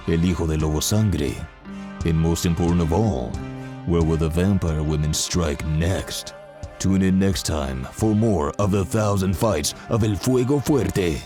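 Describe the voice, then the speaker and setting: An actor playing a theatrical, ominous announcer narrating a pulp radio serial. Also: male voice, deep voice. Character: dramatic old-time serial announcer, grave and suspenseful.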